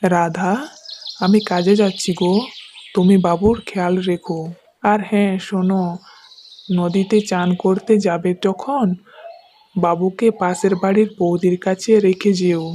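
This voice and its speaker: A woman voicing a gentle, caring young village husband in a storybook cartoon, speaking in a soft, reassuring way. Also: on the low side, fairly even intonation, a little slow, pauses often, a little hiss or hum, voice pushed down, close to an everyday voice.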